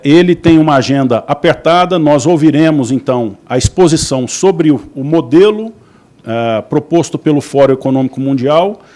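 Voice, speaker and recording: Man moderating, slightly high voice. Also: dark tone. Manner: courteous, formal introductions. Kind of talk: formal event moderation.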